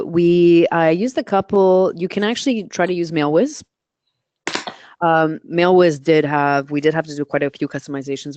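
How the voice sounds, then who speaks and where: A woman's voice: low voice, darkish tone, no room sound. A woman with a voice suited to radio, answering questions as a guest expert in a live webinar and explaining her methods step by step. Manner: practical, explains in steps with self-corrections.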